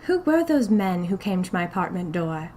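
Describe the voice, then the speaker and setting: A woman's voice: fairly low pitch, very animated, normal speed, darkish tone, a little hiss or hum. A woman voicing a timid, frightened young woman drawn into a magical society, questioning uneasily in first-person audio fiction. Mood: bewildered.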